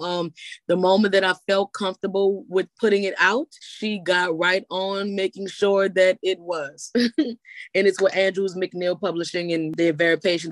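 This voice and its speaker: A woman's voice: on the low side, dry recording. A woman, a singer and poet answering interview questions in a loose, rambling way, often restarting her words. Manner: rambling, repeats words when starting.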